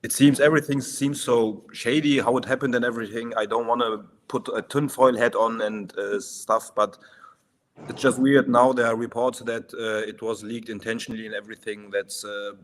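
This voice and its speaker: A man from Germany of Turkish origin, chatting casually and haltingly as a guest on a video call. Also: mid-range voice, steady tone. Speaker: first-time open-mic guest. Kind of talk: casual chat. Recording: noisy live stream line, clicks.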